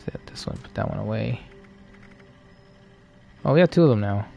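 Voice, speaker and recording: A man giving low-key, casual live commentary as he streams a game, musing to himself. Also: lively intonation, moderate pace, gravelly, dark tone, dull audio, faint music behind.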